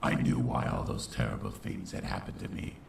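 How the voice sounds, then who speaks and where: Very deep, gravelly shadow pony villain. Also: male voice, steady tone, a little fast, heavily acted. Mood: grim, dramatic. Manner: dramatic, theatrical delivery. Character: brooding, vengeful male shadow pony turned dark villain. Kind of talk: dramatic comic dub narration and dialogue. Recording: roomy, distant, faintly noisy home recording.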